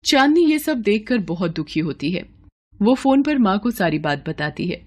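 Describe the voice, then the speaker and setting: Slightly husky woman narrating a story. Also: mid-range voice, very animated, moderate pace. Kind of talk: scripted dramatic story narration.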